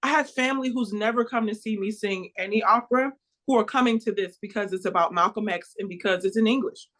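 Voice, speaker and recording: An American woman opera singer being interviewed, talking about her work in an earnest, warm and emphatic way. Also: medium pitch, quick pace. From United States.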